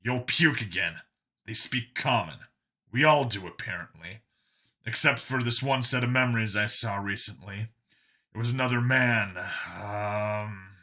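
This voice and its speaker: A man's voice: fairly low pitch, measured pace, bright, forceful tone. A man voicing a world-weary clone cop in an audio story, burdened by guilt and explaining things matter-of-factly. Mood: patient.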